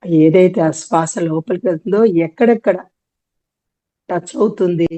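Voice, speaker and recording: A woman leading a guided meditation, giving gentle instructions in short, often repeated phrases. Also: lowish voice, moderate pace.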